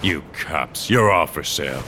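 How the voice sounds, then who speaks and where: A very deep-voiced, bitter old loner who works as a courier in a grim sci-fi audio drama. He sounds brooding and world-weary, with a dry sardonic edge. Light vehicle and ambient noise sits behind him. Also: lively intonation, measured pace. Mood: sardonic, defiant. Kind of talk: first-person noir audio drama acting.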